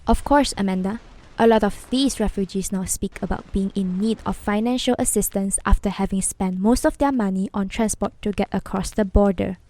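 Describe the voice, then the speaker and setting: Woman acting a mellow, composed radio expert. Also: mid-range voice, moderate pace, lightly acted. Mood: grave, informative. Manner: formal, explanatory. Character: composed female war expert on radio news. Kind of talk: acted news interview.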